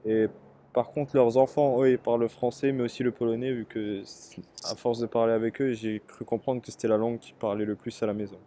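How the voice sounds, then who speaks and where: A young man with a light Parisian accent answers questions in a mellow, relaxed voice. He pauses often and fills gaps with hesitant sounds, taking his time to shape each thought. His pitch sits in an ordinary middle register. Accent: Parisian French. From Paris area, France. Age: young adult. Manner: thoughtful, hesitant, frequent fillers. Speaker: interviewee, student. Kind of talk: casual interview answers.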